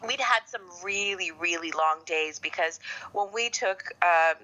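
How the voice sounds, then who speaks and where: Middle-aged woman, bright forceful voice. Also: on the low side, unhurried, low-quality recording. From Canada. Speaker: podcast guest. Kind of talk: conversational interview.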